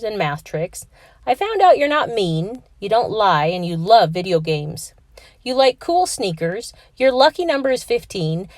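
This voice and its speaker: A woman's voice: mid-range voice, a little mellow, no echo, studio quality. A woman voicing an enthusiastic female teacher in a children's picture book read-aloud, speaking eagerly.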